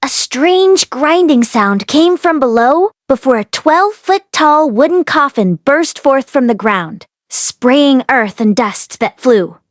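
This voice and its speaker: Female audiobook narrator, high-pitched, close dry sound, expressive storytelling. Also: takes it slowly, hardly any pauses, no room sound. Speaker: audiobook reader. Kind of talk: expressive story read-aloud.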